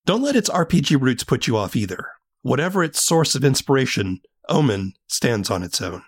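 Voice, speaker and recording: A man hosting a podcast, speaking in a scripted, measured announcer style into a close mic. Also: mid-range voice, big pitch swings, husky. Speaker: podcast host. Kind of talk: scripted podcast narration and interviewing.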